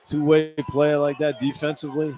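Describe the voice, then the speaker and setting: A man's voice: a little high, level tone, moderate pace, darkish tone. A man giving live basketball color commentary as an analyst, conversational and opinionated. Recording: poor radio feed, clear background noise.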